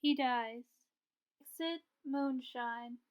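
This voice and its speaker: A woman's voice: high-pitched, drawn-out words, clean tone, muffled recording. A woman reading stage directions as scripted narration for an amateur table read, recorded over a video call. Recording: distant-sounding home video call.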